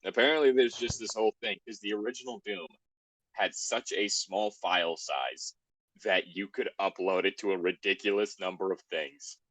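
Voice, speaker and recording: A man's voice: on the low side, moderate pace, a bit bright, dry recording, low-quality recording. A man in a casual group chat, reading a story aloud with sweary, self-deprecating asides.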